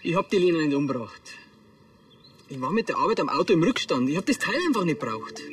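A man's voice: fairly high pitch, big pitch swings, fast, distant mic. An actor playing a defensive jilted man protesting his innocence in a TV crime drama. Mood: insistent. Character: defensive jilted male suspect pleading innocence. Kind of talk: naturalistic TV drama acting.

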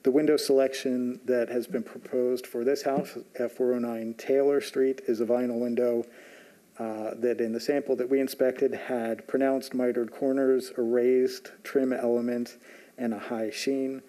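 A man on a zoning staff presenting a report at a public hearing, measured, with frequent "uh" fillers. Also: mid-range voice, flat delivery, mellow, low-quality recording. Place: large municipal meeting room.

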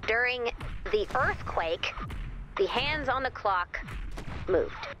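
An actress playing a brisk, wry, easily exasperated woman in command of a base in an animated video game, with dry sardonic delivery. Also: fairly high pitch, noisy background. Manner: dry, sardonic, hesitant when flustered.

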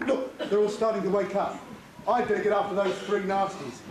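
A man's voice: high-pitched, little pitch movement, a little fast, close to an everyday voice. A man playing a heroic, reassuring rescuer in a pantomime, speaking firmly and projecting to the hall. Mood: firm reassurance. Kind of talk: amateur pantomime stage acting. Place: stage in a hall, recorded from afar. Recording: echoey hall, noisy old camcorder tape.

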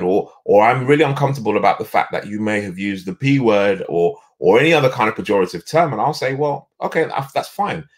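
Deep-voiced animated man. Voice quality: deep, resonant voice. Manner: animated, emphatic storyteller. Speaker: guest, leadership coach. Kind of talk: video call interview. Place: home office with bookshelves.

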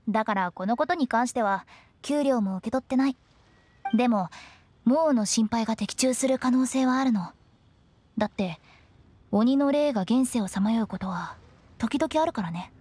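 A woman voicing a brisk, playful, confident young female funeral parlor director in an anime-style game. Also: sits high. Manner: animated, confident, bossy. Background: background music.

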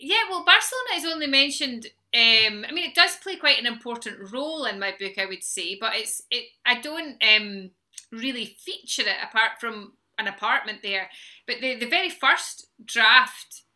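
Lady in her 40s chatting on a video call, really bright voice, keeps restarting. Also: a little high, animated, moderate pace. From Scotland. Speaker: novelist on a panel. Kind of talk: casual panel talk. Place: home room with bookshelves.

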